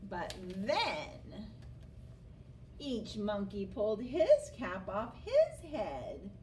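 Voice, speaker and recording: A woman reading a picture book aloud to children as an expressive storyteller who engages her listeners. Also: mid-range voice. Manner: expressive, pauses to ask listeners questions. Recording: distant mic, faint background noise.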